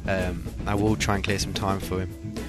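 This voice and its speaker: A man co-hosting a radio show, relaxed and hesitant, with many ums. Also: deep voice, moderate pace, over music. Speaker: radio co-host. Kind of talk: casual radio chat.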